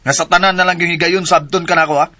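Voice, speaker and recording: An actor with a southern Philippine accent playing a brash, jealous grown son with an insistent, confrontational manner in a radio drama. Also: male voice, on the high side, steady tone, very fast, bright, forceful tone. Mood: needling.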